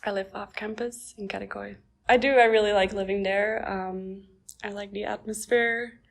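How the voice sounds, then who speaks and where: Young Belgian woman with a gravelly voice. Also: medium pitch, steady tone, normal speed. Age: 20s. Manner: thoughtful, frequent ums. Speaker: exchange student. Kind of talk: casual interview answers.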